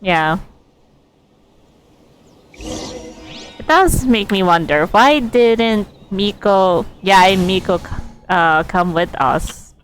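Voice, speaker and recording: Slow-paced woman streaming a game. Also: medium pitch, soft background music. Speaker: game livestreamer. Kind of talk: casual gameplay commentary, reacting to story dialogue. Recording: background game ambience and noise.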